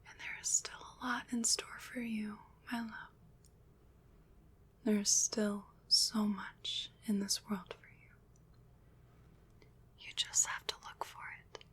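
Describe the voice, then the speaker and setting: A woman playing a gentle, consoling witch in an ASMR roleplay, whispering breathily in a slow, soothing, deliberate way. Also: mid-range voice, flat delivery, breathy edge. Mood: tender reassurance.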